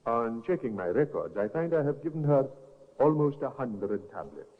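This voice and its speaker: An actor playing a careful, formal doctor giving evidence in a 1950s radio drama. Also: male voice, mid-range voice, very animated, normal speed. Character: careful, apologetic male doctor witness. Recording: tinny old radio broadcast with reverb.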